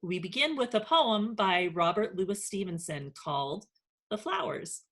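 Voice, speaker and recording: A woman's voice: mid-range voice, sing-song, a little slow, fairly bright tone. A woman hosting and narrating a children's read-aloud as its author.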